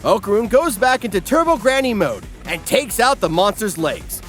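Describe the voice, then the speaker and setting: Wildly animated comedic male narrator. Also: sits high, normal speed. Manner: exaggerated, mock-dramatic, wry. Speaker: narrator of an anime parody recap. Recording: music behind the voice.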